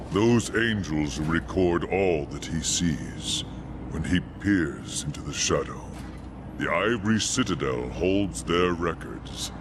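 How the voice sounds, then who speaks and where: Deep, solemn angel warrior. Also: male voice, background noise, full character voice. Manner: measured, formal, solemn. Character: solemn male angel warrior guarding an outpost of light. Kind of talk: fantasy game voice acting.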